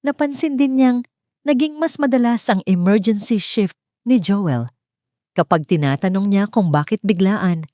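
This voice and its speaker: A woman's voice: mid-range voice, dark tone, dry recording, clean studio sound. A woman narrating a scripted dramatic story.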